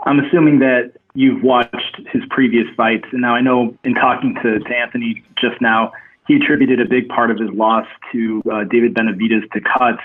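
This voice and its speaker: A man's voice: medium pitch, level tone, normal speed, slightly rough. A man working as a sports reporter, asking interview questions over a telephone. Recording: muffled, narrow phone line.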